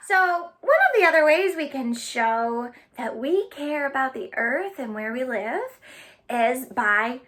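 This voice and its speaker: A woman hosting a storytime for children, speaking in an animated way and addressing them directly with simple instructions and phrases. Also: high-pitched. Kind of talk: children's storytime with sing-along chants and play instructions.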